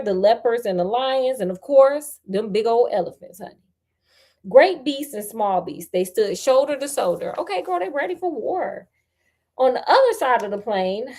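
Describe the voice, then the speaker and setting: A woman's voice: a little high, mellow. An American woman reading a storybook aloud as host, with sassy, conversational asides to her listeners. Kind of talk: storybook read-aloud with casual running commentary.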